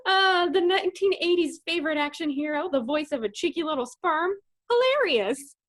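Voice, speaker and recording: A woman with a high voice that swings widely as she narrates comedy. She is mock-sweet and sarcastic, dropping sassy asides into the script. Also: animated, normal speed. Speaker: comedy troupe performer, narrator.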